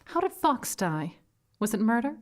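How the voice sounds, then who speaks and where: A woman's voice: a little high, sing-song, normal speed. A woman playing an earnest, inquisitive academic who narrates a gothic mystery on stage in a melodramatic storytelling manner. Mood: earnest, concerned. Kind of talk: scripted first-person narration in live stage drama. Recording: live stage recording with background noise.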